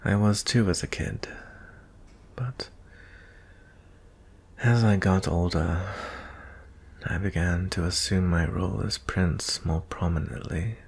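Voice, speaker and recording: A man playing a gentle, weary young prince in a fantasy ASMR roleplay, speaking softly and intimately in a breathy, husky voice with frequent pauses. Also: sits low, heavily acted. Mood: wistful. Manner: slow, hushed, pausing often.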